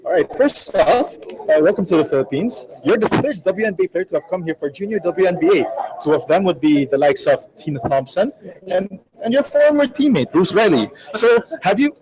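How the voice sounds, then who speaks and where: A man hosting a radio sports segment, his voice sitting a bit high. He asks his guest clear, polite questions at an even pace, dropping soft murmurs of agreement in between. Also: brisk, crowd noise, low-quality recording. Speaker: radio sports show interviewer. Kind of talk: interview questions.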